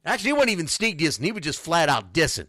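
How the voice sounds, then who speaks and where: Animated male radio host, lively pitch. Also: very fast, dry recording, clean studio sound. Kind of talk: casual live sports radio talk with read sponsor spots.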